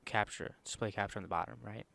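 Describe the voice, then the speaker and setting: A man with a quiet voice walking through a screen-recorded software tutorial in a casual, rambling way, full of fillers and self-corrections. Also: fairly low pitch, fairly even intonation, fast talker, creaky. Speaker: streamer giving a software tutorial.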